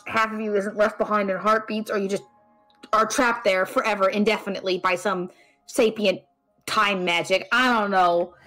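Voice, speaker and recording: A woman's voice: medium pitch, fairly bright tone, natural voice, rough recording. A woman playing a brainy, caring and exasperated female friend in a conversational audio drama, wry and fretful.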